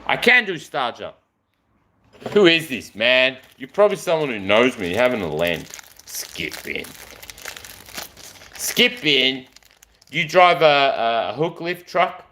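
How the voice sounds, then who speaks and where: Animated, chatty man. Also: mid-range voice, takes it slowly, long pauses, slight background noise. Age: 40s. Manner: affectionate, rambling, chatty. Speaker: live streamer. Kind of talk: rambling live-stream chat.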